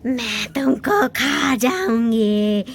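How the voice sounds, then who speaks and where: A woman voicing an evil child-snatching witch, speaking slowly with drawn-out threats. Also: on the high side, animated, gravelly, husky, voice pushed down, heavily acted. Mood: menacing. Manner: slow, drawn-out threatening growl.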